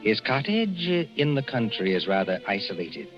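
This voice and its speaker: A man narrating a 1940s radio suspense drama in a measured, ominous, theatrical way. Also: fairly low pitch, very animated. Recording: dull vintage radio recording with music. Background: music.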